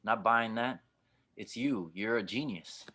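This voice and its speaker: A man's voice: on the low side, measured pace, bright, forceful tone. A man playing a blunt, protective, reassuring starship pilot in an audio drama table read. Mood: grave, blunt. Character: gruff, steady older male starship pilot, protective of his companion.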